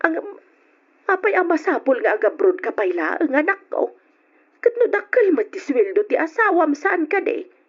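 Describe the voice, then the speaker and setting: An older mother played by a woman in an old radio serial, speaking with a Filipino accent in a fairly high voice. She questions and gently admonishes, and the recording is dull and lo-fi. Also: very animated, normal speed. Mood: concerned. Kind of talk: old-fashioned Ilocano radio drama acting.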